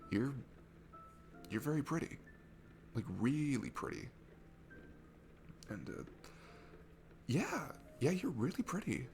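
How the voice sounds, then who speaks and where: A man playing a shy, insecure, sweet guy at a bar in an intimate audio roleplay, talking in a nervous, halting, apologetic ramble. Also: medium pitch, big pitch swings, darkish tone. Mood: curious, awkward. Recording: music behind the voice. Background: music.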